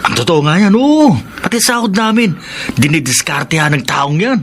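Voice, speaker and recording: A man's voice: a little high, very animated, moderate pace, slight background noise. An actor playing a concerned male construction worker who warns his friend emphatically in a Filipino radio drama. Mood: confiding, wary.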